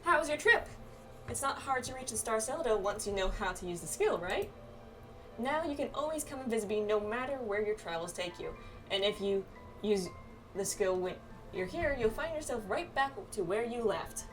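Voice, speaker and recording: A woman's voice: fairly high pitch, lively intonation, moderate pace. Casual woman gamer, distant mic over music. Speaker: gamer playing through a video game. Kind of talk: playthrough commentary and reading game dialogue aloud. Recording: distant mic under clear background music.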